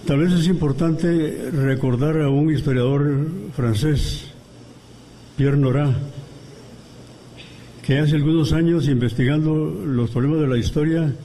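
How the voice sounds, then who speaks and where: Measured man, mellow voice. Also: a little high, fairly even intonation, a little hiss or hum, poor audio. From Guatemala. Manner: measured and deliberate, pausing between phrases. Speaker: guest commentator at a book presentation. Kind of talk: academic lecture. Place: university auditorium.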